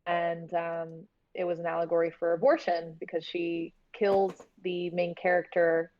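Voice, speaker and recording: A woman's voice: on the low side, little pitch movement, a little slow, dull audio. A woman chatting casually and candidly as an interview guest, talking like a filmmaker about her work.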